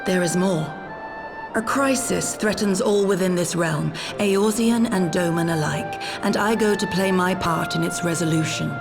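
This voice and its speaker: A woman's voice: on the low side, unhurried. A woman playing a dutiful, solemn exiled warrior who speaks formally and resolutely in a video game. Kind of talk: dramatic video game voice acting. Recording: music behind the voice.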